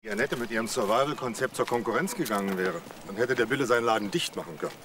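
A man's voice: on the low side, fast, a bit bright, slight background noise, lightly acted. An actor playing a blunt, sceptical male police detective in a crime drama. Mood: probing.

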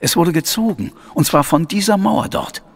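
An actor playing a sceptical, grumbling police inspector in an audio drama, with a gravelly, husky voice and a blunt, doubting manner. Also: male voice, on the high side, animated, moderate pace, full character voice. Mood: examining, muttering.

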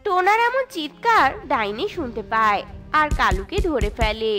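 A woman's voice: high voice, big pitch swings, normal speed, music in the background. A woman narrating a scripted children's story.

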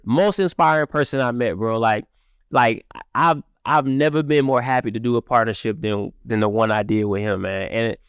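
A man from Cleveland, raised partly in Mississippi, talking casually as a music-marketer guest on a podcast, with lots of fillers, into a studio boom mic. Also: moderate pace, smooth, no room sound. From Cleveland, Ohio, raised partly in Mississippi. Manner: animated, repetitive fillers. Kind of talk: casual interview talk. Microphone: studio mic on boom arm.

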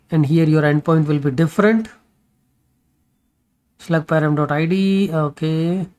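A man's voice: on the high side, darkish tone. A man explaining code step by step in a screen-recorded programming tutorial, with many fillers and restarts as he goes.

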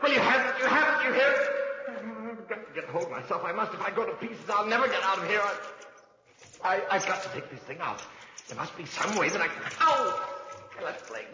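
Bossy radio-play villain: highish forceful voice, sharp and frantic, cavernous old recording. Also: male voice, sing-song, fairly quick, noisy background. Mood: alarmed, frantic, then shaken, then terrified. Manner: sharp, commanding, dramatic. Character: domineering male murderer, impatient, increasingly frantic. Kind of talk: 1940s radio melodrama acting. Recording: dull 1940s radio, cavernous echo.

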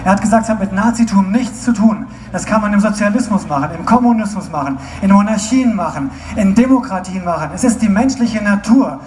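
A young woman giving a loud, declaiming live translation at an outdoor rally through a handheld mic. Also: mid-range voice, brisk, hardly any pauses. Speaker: interpreter. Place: outdoor stage at a large crowd rally. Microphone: handheld mic, filmed from far in the crowd. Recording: echoey, distant crowd phone recording. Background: cheering crowd and ambient noise.

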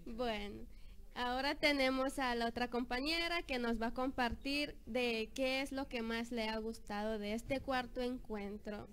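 A woman's voice: fairly high pitch, fairly even intonation, unhurried, a bit bright. A young woman with a Nicaraguan accent presenting interviews on camera into a handheld microphone. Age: young adult. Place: outdoor patio at night.

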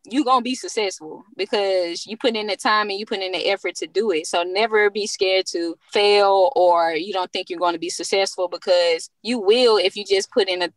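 A woman in her thirties, a business co-founder guest, speaking confidently and motivationally in relaxed phrasing. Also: medium pitch, moderate pace, hardly any pauses, no room sound. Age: 30s. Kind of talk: casual interview chat.

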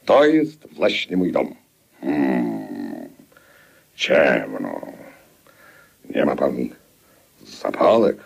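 An actor playing a sly policeman who poses as a shady professor, gravelly and husky, in a theatrical, grotesque radio play manner. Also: a bass voice, huge pitch swings, very slow, pauses often, heavily acted. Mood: sly, measured.

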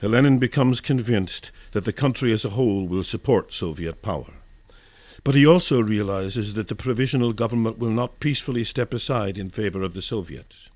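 A man's voice: on the low side, fairly quick, a little mellow, dry recording. A man giving scripted historical narration for a radio broadcast as a reader.

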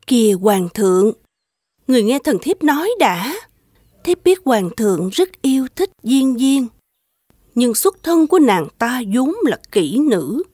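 A woman voicing a shrewd, scheming empress in an animated fairy tale, speaking in a measured, courtly way. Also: fairly high pitch, breathy edge, no echo, clean studio sound, heavily acted. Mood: persuasive. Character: shrewd, suspicious, scheming empress, soft-spoken to her emperor. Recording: music playing behind the voice.

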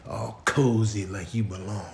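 A man voicing a suspicious, vengeful cat in a comic voiceover, making drawn-out threats in a hushed tone. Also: on the low side, fairly even intonation, vocal fry, reverberant, slight background noise, pitched below the performer's own voice. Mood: menacing. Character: menacing, suspicious cat plotting against a kitten.

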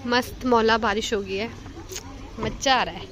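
High-voiced young woman vlogging, noisy. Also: steady tone, measured pace, fairly bright tone. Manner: playful, mixes in English phrases. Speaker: travel vlogger. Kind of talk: casual vlog commentary among friends. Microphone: handheld phone. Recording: noisy handheld outdoor phone recording.

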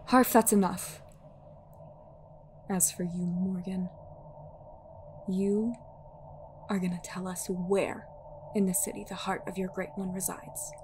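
A woman acts a commanding female interrogator with a medium-pitched voice. She is formal and composed, giving orders in stern, clipped phrases. The audio drama recording has clear noise behind her. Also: little pitch movement, slow pace, long pauses, close to an everyday voice.

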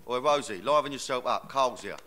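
An actor playing a cocky, chummy English music hustler in a game, breezy and slangy. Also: male voice, medium pitch, brisk, bright, forceful tone. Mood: exasperated, brisk. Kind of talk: video game cutscene acting.

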